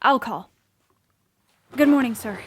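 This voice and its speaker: A woman's voice: a little high, slow, a bit bright, slight background noise. A woman playing an eager, polite young woman writer in an audio drama, earnest and easily flustered. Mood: hesitant, polite. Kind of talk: audio drama acting with letter narration. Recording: music behind the voice. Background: music.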